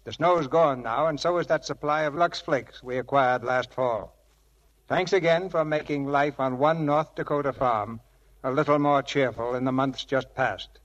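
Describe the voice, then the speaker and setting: A man presenting scripted 1940s radio theatre as host and narrator, with grand, measured showmanship. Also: mid-range voice, a bit bright, muffled recording. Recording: vintage radio broadcast with music.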